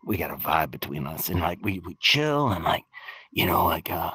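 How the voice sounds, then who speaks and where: A man's voice: low-pitched, very animated, moderate pace, pushed and bright, breathy edge, heavily acted. A man playing a laid-back, centuries-old skeleton rocker who talks like a drawling slacker and says "man" constantly. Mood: chill. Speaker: bassist and singer in the guest band. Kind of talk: in-character storytelling. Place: virtual-world podcast, dry sound.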